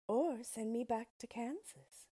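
A gentle little girl, as a woman reads her part, speaking softly and politely with a lifted pitch. The voice is husky and unhurried. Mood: hopeful.

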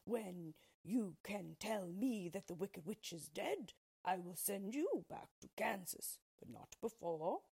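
A woman voicing a stern male wizard who hands down slow, solemn pronouncements. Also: medium pitch, animated, creaky, darkish tone, slightly rough, full character voice.

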